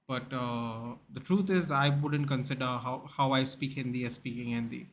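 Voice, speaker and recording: A man's voice: mid-range voice, steady tone, moderate pace, slight background noise. Chatty young Indian man on a livestream. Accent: Indian English. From Goa, India. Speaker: livestream host. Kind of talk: casual livestream chat reading out viewer comments.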